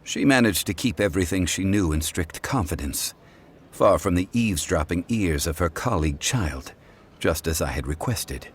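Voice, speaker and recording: An actor playing a calm, ancient god in mortal form in a video game, formal, measured and eloquent. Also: male voice, low-pitched, slightly rough. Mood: composed, satisfied.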